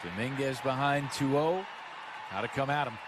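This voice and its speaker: A man's voice: medium pitch, normal speed, a crowd in the background. American man doing color commentary on baseball, mid voice, casual talk, noisy ballpark bg. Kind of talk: conversational live sports analysis. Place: stadium broadcast booth. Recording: noisy live ballpark broadcast.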